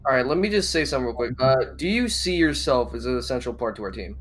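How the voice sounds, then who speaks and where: A man's voice: mid-range voice, lively intonation, quick pace, a bit bright. A man casually advising a teammate on a quiz show, keen to make his point. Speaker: quiz team member. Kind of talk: casual team discussion. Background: background music.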